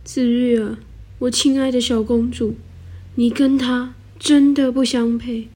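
A woman's voice: a little high, level tone, unhurried, warm tone. A girl playing a stern, imperious king. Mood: disapproving. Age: teenager. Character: stern, commanding king and doting but controlling father.

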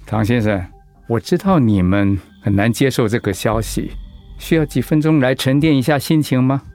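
An actor as a calm, gravelly male doctor. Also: medium pitch, no room sound, soft background music, close to an everyday voice. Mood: grave, gentle. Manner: measured, explanatory, professional. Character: calm, measured male doctor delivering a diagnosis. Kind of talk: radio drama acting.

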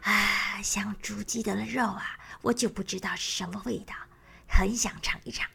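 A woman voicing a sly, cunning male caiman who talks low and half-whispered. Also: fairly even intonation, moderate pace, gravelly, bright, forceful tone, slightly rough, heavily acted. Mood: scheming.

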